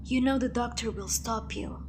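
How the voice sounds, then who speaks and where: A woman's voice: a little high, normal speed, slight background noise, lightly acted. Woman playing a curt bitter companion.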